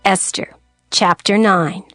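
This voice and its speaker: A woman reading scripted scripture as a narrator, in a measured, solemn storytelling manner. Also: medium pitch, steady tone, a bit bright, dry recording, studio quality. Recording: narration over prominent music.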